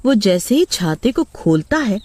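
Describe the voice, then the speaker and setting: A woman's voice: mid-range voice, big pitch swings, measured pace, a little mellow, no echo. A woman narrating a scripted horror story for children.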